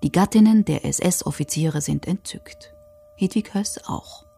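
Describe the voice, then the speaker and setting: A woman's voice: on the low side, a little slow, creaky, dark tone, slightly rough, exaggerated voice. A woman playing the detached narrator of a documentary radio drama, reading scripted narration.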